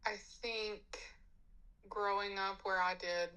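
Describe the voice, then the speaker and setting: A woman's voice: medium pitch, fairly even intonation, takes it slowly, sharp tone, poor audio. A woman in her thirties from North Carolina, giving casual, reflective interview answers. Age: 30s.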